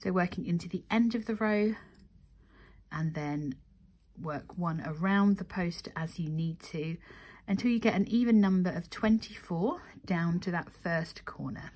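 A woman's voice: lowish voice, measured pace, darkish tone. A woman presenting a craft tutorial, giving methodical step-by-step instruction and counting as she goes.

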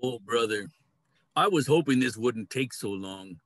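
An older man playing a large, weary walrus who grumbles deliberately in a play reading over a video call. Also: mid-range voice, lively intonation, fairly bright tone, dry recording. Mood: weary, grumbling. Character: large, weary old walrus on an animal council. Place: small cluttered room at home.

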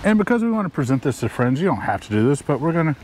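A man co-hosting a casual outdoor cooking demo, talking in a relaxed, chatty way as he explains each step. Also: on the high side, very fast, background noise. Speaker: cooking show co-host. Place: outdoor campsite. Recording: music playing behind.

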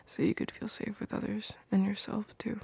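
A woman playing a tender, protective, teasing girlfriend in an ASMR roleplay, murmuring intimately in soft whispers close to the microphone. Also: medium pitch, level tone, moderate pace, no echo, heavily acted. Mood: tender, reassuring. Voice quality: breathy, whispery.

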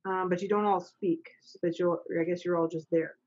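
A woman's voice: fairly low pitch, fairly even intonation, fairly quick, a little mellow. Stage manager, middle-aged female, plainspoken and instructive, heard through slightly lo-fi video call audio. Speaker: stage manager and narrator of a readers' theater. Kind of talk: reading stage directions and coaching readers. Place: small home office. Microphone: video call, computer microphone.

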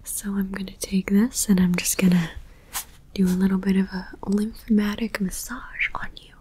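A woman whispering softly in an ASMR personal-attention roleplay, gently reassuring, with repeated trigger words and small chatty asides. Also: medium pitch, flat delivery, normal speed, a little hiss or hum. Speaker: ASMR creator.

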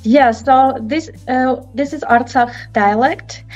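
Woman, author on a video call, fond storyteller, fairly high voice, dull lo-fi sound. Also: slow, darkish tone, soft background music. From Armenia. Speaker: picture book author.

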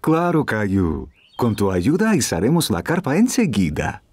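Patient cartoon dad, very lively intonation. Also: male voice, fairly low pitch, measured pace, breathy edge, soft background music, heavily acted. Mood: cheerful, patient. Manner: gentle, encouraging. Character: patient, encouraging father of a small boy. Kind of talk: dubbed children's cartoon acting.

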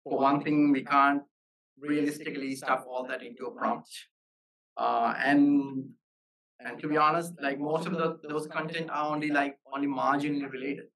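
A man's voice: on the high side, steady tone, normal speed, a bit bright. Man giving a technical talk, slightly distant mic. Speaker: software engineer presenting at a meetup. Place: live meetup talk.

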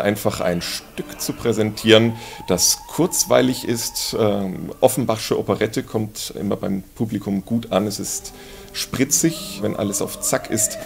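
A man speaks calmly and in measured terms in an interview voice-over. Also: on the low side, gravelly.

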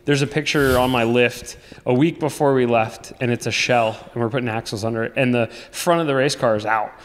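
East coast guy late 30s, mid pitch, animated racing stories, roomy reverb. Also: brisk, slight background noise. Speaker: guest, off-road racer. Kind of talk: casual chat.

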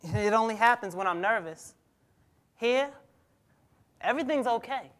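A man's voice: very high-pitched, expressive, unhurried, fairly bright tone, exaggerated voice. A young man playing a proud, bitter teenage boy from 1950s America in a staged reading, emphatic with an occasional stammer. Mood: earnest. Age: young adult. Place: theatre stage before a seated audience. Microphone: clip-on lapel mic.

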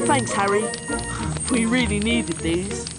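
A woman's voice: medium pitch, slow, close to an everyday voice, low-quality recording. A woman playing a friendly, conspiratorial schoolboy prankster in a video game, chummy and cheeky. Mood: cheeky, grateful. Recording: loud music over the voice.

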